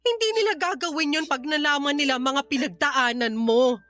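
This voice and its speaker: An actress playing a caring but gently reproachful older sister in a radio drama, speaking in an emotional, imploring way. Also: female voice, high-pitched, moderate pace. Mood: urging, reassuring. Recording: music bed under the voice.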